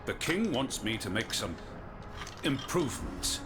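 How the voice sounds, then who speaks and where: A man's voice: mid-range voice, sing-song, measured pace. A man playing an exasperated, stern inventor father in a video game, scolding and commanding. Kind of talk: dramatic video game acting. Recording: music behind the voice.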